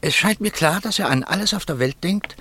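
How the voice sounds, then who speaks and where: A man's voice: high-pitched, lively intonation, a little fast, pushed and bright, breathy edge, heavily acted. A man playing an eager, suspicious, stubborn amateur detective in a German radio play, speaking with dramatic, insistent emphasis.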